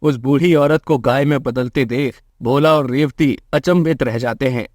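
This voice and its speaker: A man's voice: mid-range voice, measured pace, a little mellow, dry recording, studio quality. A man narrating a scripted children's moral story as a storyteller.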